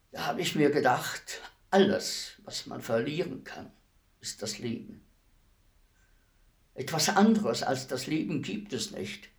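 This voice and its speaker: An actor playing a weary old executioner in a radio play, speaking in a deliberate, ruminative manner with heavy pauses. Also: male voice, mid-range voice. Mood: reflective, matter-of-fact. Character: weary old male executioner, fifty years imprisoned, brooding, humble.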